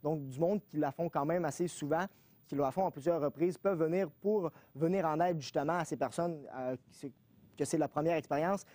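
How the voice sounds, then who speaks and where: A man reporter with a fairly high, warm voice who rattles through his live report quickly and conversationally. The sound is dry and close. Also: no echo. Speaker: news reporter.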